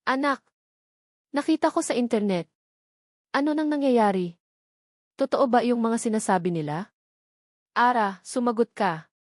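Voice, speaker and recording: A woman's voice: on the high side, expressive, measured pace, pauses often, darkish tone, no room sound, clean studio sound. A Filipino woman voicing an anxious mother in an audio love story, questioning her grown daughter urgently. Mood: panicked worry.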